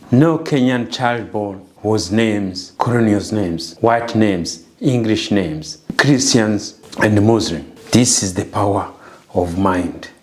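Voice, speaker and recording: Slow, deliberate man with a Rwandan accent. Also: on the low side. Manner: deliberate, earnest, repeats phrases for emphasis. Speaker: speaker and preacher of African spirituality. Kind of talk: spoken message to the camera, preacher-like talk.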